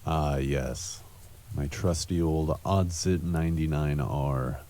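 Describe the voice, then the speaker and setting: A man playing a world-weary, cynical cyberpunk antihero in a deadpan noir monologue for an adventure game. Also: rumbling low, takes it slowly. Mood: dry, deadpan. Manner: deadpan, brooding.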